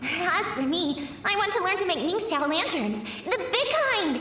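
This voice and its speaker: A very high child's voice, eager and cheerful. It is far from the mic and wrapped in a big echo. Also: female voice, lively intonation, moderate pace, slight background noise, exaggerated voice. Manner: eager, bright. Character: cheerful little child dreaming of lanterns. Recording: echoey, distant memory sound.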